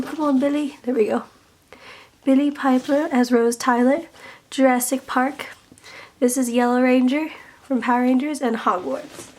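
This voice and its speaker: A young woman, hoarse from losing her voice, chatting casually to her vlog viewers with lots of fillers and little laughs. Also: on the high side, darkish tone, distant mic, a little hiss or hum, poor audio. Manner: chatty, giggly, rambling with fillers. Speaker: vlogger. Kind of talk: casual chat.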